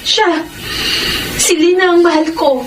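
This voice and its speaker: Anxious filipino gf, really high pleading voice, radio drama, lots of noise. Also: female voice, unhurried. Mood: desperate pleading. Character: anxious, two-timing young sweetheart hiding an old lover. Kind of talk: Tagalog radio melodrama acting. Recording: very noisy background.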